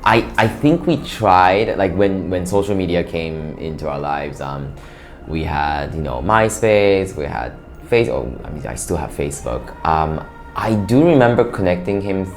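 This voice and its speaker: A young man from Japan speaks in a very low voice, answering interview questions with many pauses and restarts. Soft music plays faintly behind him. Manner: hesitant, many fillers and restarts. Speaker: interview guest, bank operations worker.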